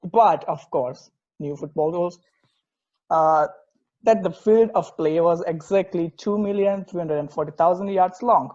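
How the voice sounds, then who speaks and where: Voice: mid-range voice, measured pace, mellow, dull audio, close to an everyday voice. A performer playing an enthusiastic, snarky sports podcast co-host in a casual table read. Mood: casual storytelling.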